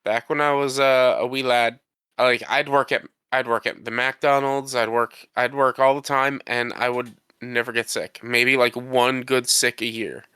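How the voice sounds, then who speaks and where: Congested podcast co-host man, bright crisp tone, rambles and defends himself. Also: medium pitch, flat delivery, moderate pace, dry recording. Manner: rambling, defensive, restarts often. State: congested from a cold. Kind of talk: casual chat.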